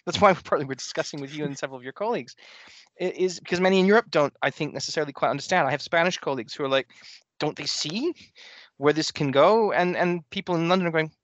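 A man with a Canadian accent asking a long question, talking quickly and restarting his sentences. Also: on the high side, no echo. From grew up in Germany and Canada. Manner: fast, animated, restarts often. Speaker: interviewer. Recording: dull dry lo-fi sound.

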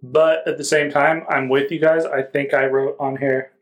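A man's voice: mid-range voice, flat delivery, normal speed. A man reviewing music in a casual podcast chat, speaking informally and feeling his way through descriptions.

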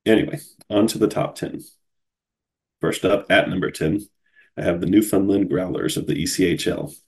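A man's voice: low voice, moderate pace, husky. A man from Cincinnati hosting a solo podcast in a casual, chatty way, sounding groggy from a cold. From Cincinnati, USA. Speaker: podcast host.

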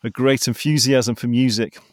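A man's voice: mid-range voice, fairly even intonation, moderate pace, no room sound, studio quality. A man interviewing a musician in a chatty, hesitant way, with many fillers and restarts. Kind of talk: casual interview.

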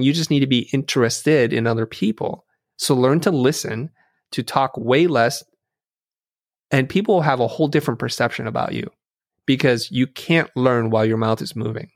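A man's voice: medium pitch, no echo. Earnest middle-aged man, dry close sound. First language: Vietnamese. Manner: thoughtful, earnest, with frequent restarts. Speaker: interview guest, designer and teacher. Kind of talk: reflective storytelling in conversation.

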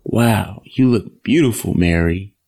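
A man playing a courteous, earnest young gentleman courting his sweetheart in an audio drama. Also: fairly low pitch, slow pace, creaky, faint music behind, exaggerated voice.

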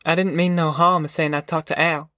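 A man voicing a soothing, plainspoken middle-aged farm mother in an audiobook, soft-spoken, with a rural Southern American accent. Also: fairly high pitch, flat delivery, fairly quick, no room sound, studio quality, voice pushed up. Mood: gentle, firm. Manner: soft-spoken, folksy, reassuring. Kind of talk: audiobook character dialogue.

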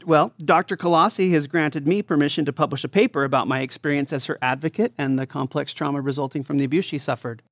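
A man's voice: on the high side, fast, hardly any pauses, dry recording, studio quality, lightly acted. A man playing a supportive doctor and advocate, speaking sincerely and articulately in an audio drama interview. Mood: admiring, sincere. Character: earnest, supportive male doctor and survivor advocate.

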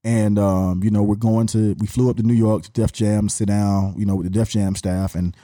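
A middle-aged man with an Atlanta Southern accent, a podcast guest telling stories in an animated, slangy way. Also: on the low side, normal speed, no room sound, studio quality. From Atlanta, USA. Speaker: podcast guest, music marketer. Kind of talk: casual storytelling chat.

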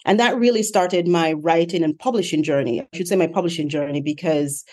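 A middle-aged woman talking in a casual interview over a remote call. Her voice sits a little low, and she is chatty and laughs easily as she strings together long lists about her life. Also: fairly quick, mellow. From Jamaica. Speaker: guest, author.

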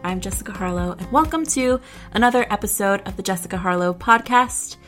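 A woman's voice: medium pitch, a little fast, a bit bright, soft background music. A young woman talks alone into her podcast mic, with a nasal, congested sound from blocked sinuses. She rambles casually, restarts her sentences and leans on fillers. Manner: chatty and rambling, many fillers and self-corrections. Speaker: podcast host. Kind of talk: casual solo podcast chat.

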